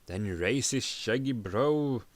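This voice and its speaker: A man giving a dramatic reading of a story, halting and stumbling over words as he narrates. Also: mid-range voice, expressive, no room sound. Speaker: narrator, streamer.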